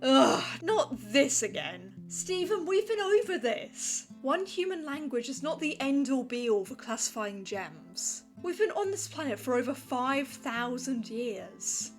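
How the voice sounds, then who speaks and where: A woman's voice: sits high, big pitch swings, a little slow, hardly any pauses, soft background music, heavily acted. A woman voicing a fussy, precise female alien gem in a cartoon comic dub, speaking formally as if lecturing. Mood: exasperated. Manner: formal, didactic, exasperated lecturing.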